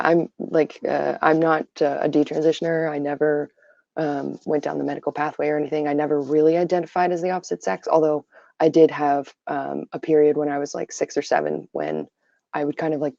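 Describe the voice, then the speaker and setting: A tired woman with a raspy voice, giving her opinions on a casual livestream full of fillers. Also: deep voice, little pitch movement, fairly quick, mellow, no room sound. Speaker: livestream host. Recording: dull lo-fi stream audio.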